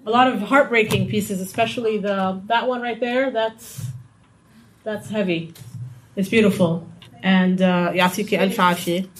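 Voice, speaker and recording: A woman's voice: medium pitch, steady tone, moderate pace, slight background noise. A woman hosting a poetry evening, praising the last artist and introducing the next poet in a rambling, encouraging way. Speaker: event host. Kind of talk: introducing performers at a poetry night.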